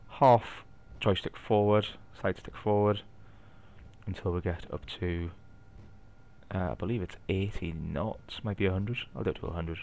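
A man with a Geordie accent casually narrating a flight simulator session, explaining each step and thinking aloud. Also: sits low. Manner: relaxed, explanatory, thinking aloud with light asides. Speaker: flight simulator video maker. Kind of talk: casual narrated gameplay walkthrough.

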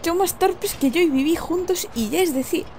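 A woman's voice: extremely high pitch, moderate pace, darkish tone, a little hiss or hum. A young woman with an accent from Spain, doing casual, self-deprecating gameplay commentary with informal swearing. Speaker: gaming video host. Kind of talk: casual gameplay commentary, reading game dialogue aloud. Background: game music.

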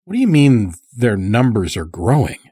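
A man acts out a curt, guarded leader of a sacred order in a dark audio tale. His voice is husky and dry, with little room sound. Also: medium pitch, very animated, moderate pace, no room sound. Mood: wary, questioning. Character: guarded, terse male lodge master of a sacred order.

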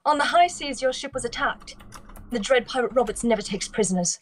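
Woman playing a defiant, lively high-voiced noblewoman. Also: fairly quick, a little hiss or hum, lightly acted, low-quality recording. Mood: bitter, passionate. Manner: dramatic, accusing. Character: defiant, grieving young noblewoman in love. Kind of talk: live table read of a film script. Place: indoors, on a group video call.

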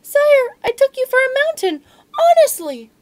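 Woman voicing a tiny male mouse, squeaky, high, pleading, storybook read-aloud. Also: big pitch swings, moderate pace, darkish tone, a little hiss or hum. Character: small frightened eager male mouse.

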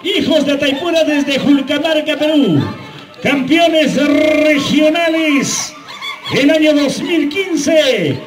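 A man with a Peruvian Andean Spanish accent announcing over a PA at an outdoor festival, rousing the public with drawn-out shouts and repeated words. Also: very high-pitched. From Huancavelica, Peru. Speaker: announcer at a carnival dance contest. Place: outdoor town plaza. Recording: echoey PA, loud music and crowd. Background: music and crowd.

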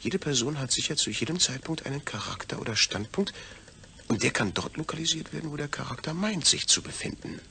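An actor playing a pensive astronaut in a deliberate, theatrical audio drama soliloquy. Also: male voice, medium pitch, animated, creaky, bright, forceful tone. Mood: searching, puzzled. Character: pensive astronaut man puzzling over his own detached brain. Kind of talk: German audio drama monologue.

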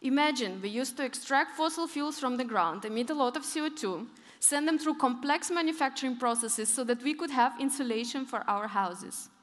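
A young woman speaks from a big conference stage through a headset mic, her voice a little high and ringing through a long hall reverb. She tells her stories with lively emphasis and presses firmly on her key points. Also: normal speed, few pauses. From northern Europe, Arctic region. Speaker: keynote speaker. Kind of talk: conference keynote talk.

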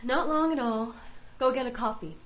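High-voiced, scatterbrained woman envoy played by an actress. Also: moderate pace, slight background noise, close to an everyday voice. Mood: chatty, polite. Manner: babbling, apologetic, easily sidetracked. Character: chatty, scatterbrained, polite woman envoy from afterlife office. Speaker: voice actor. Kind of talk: comic fantasy audio drama acting.

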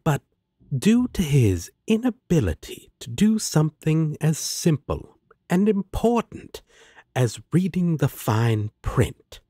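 Man acting a smug, slow-drawling male demon. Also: medium pitch, dark tone, husky, no room sound, studio quality, exaggerated voice. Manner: drawling, sardonic, teasing. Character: smug, teasing male demon, sardonic yet protective. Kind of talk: audio roleplay acting.

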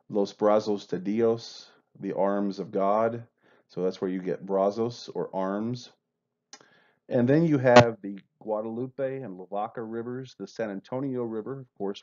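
Low, dark-voiced Texan man lecturing. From Texas, USA. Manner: measured, explanatory, with filler uhs. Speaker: history lecturer. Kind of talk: recorded slideshow lecture. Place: voice-over over slides, room unseen. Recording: dull lo-fi voice-over.

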